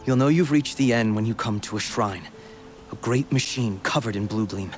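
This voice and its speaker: Guy doing a grave earnest tribesman, low-ish voice, game music behind. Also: normal speed, close to an everyday voice. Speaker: game character.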